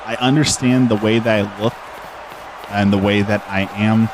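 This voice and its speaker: A man's voice: lowish voice, fairly even intonation, moderate pace, a crowd in the background, noisy background. A middle-aged man streaming a game, chatting casually and earnestly with viewers into a desk microphone, with many fillers and restarts. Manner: outgoing and extroverted. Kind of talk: casual live-stream chat answering viewers while gaming.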